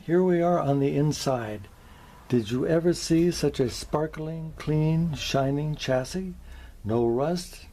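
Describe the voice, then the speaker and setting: A man presenting a show-and-tell video in a folksy, deliberate way, with short careful phrases, theatrical exclamations and wry humour. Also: fairly high pitch, mellow. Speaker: presenter, vintage radio collector.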